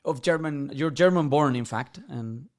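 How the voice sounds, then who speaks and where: A man's voice: fairly high pitch, normal speed, a little mellow. A man hosting a podcast, introducing and questioning in a conversational way. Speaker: podcast host.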